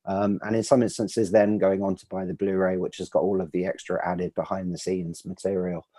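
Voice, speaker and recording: A man who runs a film distribution company, speaking on a video panel in a halting way with many ums and uhs. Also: deep voice, flat delivery, mellow, no echo. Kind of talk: casual panel discussion.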